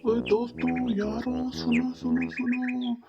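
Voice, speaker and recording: A brotherly animal friend from a children's cartoon, played by a voice actor. The voice is gravelly and unhurried. The sound is dull, under loud music. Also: mid-range voice, level tone. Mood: urgent. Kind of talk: children's moral cartoon voice acting.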